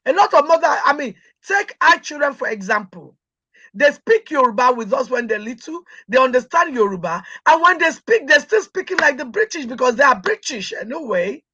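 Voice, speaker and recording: Emphatic high bright Nigerian woman. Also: sing-song, brisk, highs cut off. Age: middle-aged. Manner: emphatic, proud, repeats for stress. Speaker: guest. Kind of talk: interview conversation.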